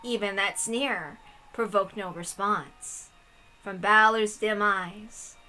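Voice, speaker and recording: A woman reading a visual novel aloud in an expressive way, with casual asides as the host. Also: medium pitch, slow, a little hiss or hum, poor audio.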